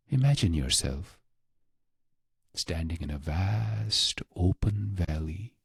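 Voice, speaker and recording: A man narrating a scripted guided meditation in a hushed, breathy voice, speaking in short phrases with long pauses. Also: no echo, clean studio sound. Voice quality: low, breathy, husky voice with creak.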